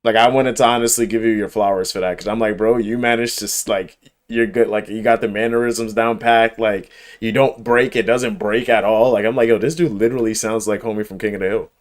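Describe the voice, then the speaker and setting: A man's voice: on the low side, fairly even intonation, brisk. A man livestreaming a game and chatting casually, with slangy, profane, emphatic speech and a habit of repeating himself. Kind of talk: casual chat while gaming.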